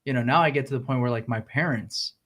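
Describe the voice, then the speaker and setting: A man hosting a casual podcast, relaxed and chatty, dropping "like" and "right?" often. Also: medium pitch, quick pace. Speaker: podcast host.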